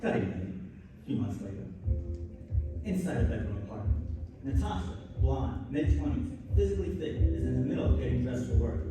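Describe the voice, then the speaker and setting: A man's voice: medium pitch, very animated, a little fast, loud music behind the voice. A man reading scripted scene narration for a live radio play. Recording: echoey noisy convention hall, distant mic.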